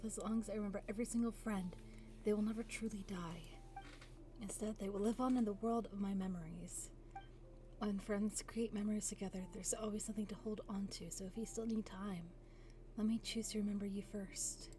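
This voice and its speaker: A woman voicing a gentle, earnest, steadfast young traveler in a video game. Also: mid-range voice, fairly even intonation, fairly quick, a little hiss or hum, natural voice.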